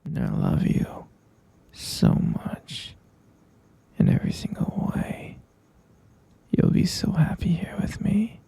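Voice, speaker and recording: ASMR roleplay: obsessive boyfriend captor, played by a man, slow, close and dry, husky whisper, sweetly menacing and coaxing. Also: a little high, huge pitch swings, mellow, no echo, full character voice. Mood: devoted, menacing. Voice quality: breathy, husky whisper with gravelly creak. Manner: cooing, coaxing, slow and halting.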